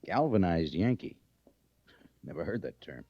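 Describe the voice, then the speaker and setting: Deep, expressive army major. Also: male voice, unhurried, heavily acted. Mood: musing. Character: thoughtful, shrewd senior army major, gently wry. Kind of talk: old-time radio western acting. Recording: muffled vintage radio recording.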